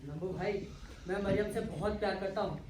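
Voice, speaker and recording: A man's voice: high voice, a little fast, a crowd in the background, close to an everyday voice. A man acting a lovestruck, defiant young son in a Hindi stage comedy, declaiming insistently. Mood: earnest. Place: theatre stage, recorded from afar. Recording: echoey hall, distant mic, noisy.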